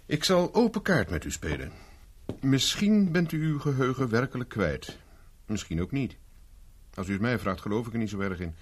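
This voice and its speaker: An actor playing a persistent private investigator in a 1970s radio drama, speaking dry and insistent. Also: male voice, medium pitch, very animated, moderate pace, breathy edge. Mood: confronting. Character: dogged male private investigator, cool and persistent. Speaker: private detective Carver.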